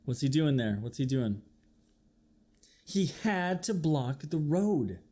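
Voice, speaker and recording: A man's voice: a little high, takes it slowly. A man reading a children's picture book aloud as a teacher would, expressively, putting questions to his young listeners. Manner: expressive, acts out sound effects, asks listeners questions. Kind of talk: animated children's storybook read-aloud.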